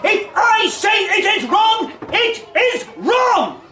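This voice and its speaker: An actress playing a domineering, strict schoolteacher in a radio drama, shouting imperiously in a fury. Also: female voice, very high voice, big pitch swings, slow, heavily acted. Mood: furious. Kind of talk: radio comedy drama acting. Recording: distant, echoey, noisy room.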